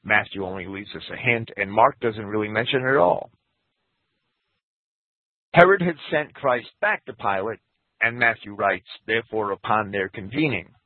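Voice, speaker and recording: Male scripture teacher, husky, animated pitch, dry thin radio sound. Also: normal speed, dry recording. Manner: lecturing, reads quotes aloud, lively pitch. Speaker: Bible teacher. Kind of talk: scripture commentary talk. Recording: tinny phone-like radio broadcast.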